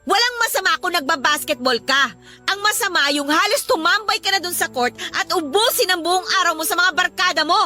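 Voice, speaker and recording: A woman's voice: very high voice, lively intonation, brisk, pushed and bright, faint music behind, exaggerated voice. A woman playing an exasperated young mother and live-in partner in an emotional Filipino radio drama. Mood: exasperated, hurt. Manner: emotional, scolding.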